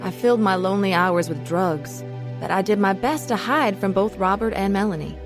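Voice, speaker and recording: A woman's voice: mid-range voice, normal speed, music in the background, close to an everyday voice. An actress playing a troubled, addicted wife and mother, narrating her past confessionally in a radio drama. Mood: regretful, confessional. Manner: confessional, scripted storytelling.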